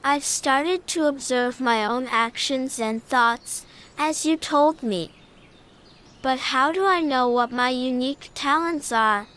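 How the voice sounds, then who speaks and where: Lady doing a timid lil lion cub boy, high n slow, earnest, kids storybook. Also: fairly bright tone. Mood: shy, questioning. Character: shy, insecure young male lion cub. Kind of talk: children's storybook dialogue.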